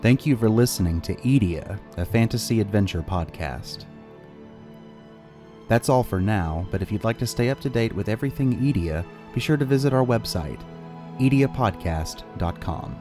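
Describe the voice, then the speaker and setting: A man's voice: lowish voice, expressive, normal speed, mellow, faint music behind. A man reading a scripted podcast outro as the show's host.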